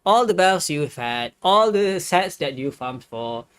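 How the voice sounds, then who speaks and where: A game streamer giving casual live commentary, thinking aloud in a hesitant, halting way. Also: mid-range voice, animated, fairly bright tone, clean tone.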